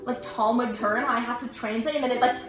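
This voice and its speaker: A young woman whose first language is Russian talks in a quick, chatty way, full of "like"s and fillers. Her voice sits fairly high. The room sounds echoey, and music plays clearly in the background. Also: steady tone, a bit bright. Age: young adult. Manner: chatty, fast, many fillers. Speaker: student community president. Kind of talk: casual chat.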